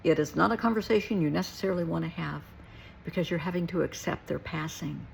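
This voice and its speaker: Middle-aged female, lowish register with strong vocal fry, earnest reflective monologue, some background noise. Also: fast talker, darkish tone, poor audio. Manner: earnest, emphatic, repeats short phrases for weight. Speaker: therapist and nurse. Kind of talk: reflective personal talk to listeners.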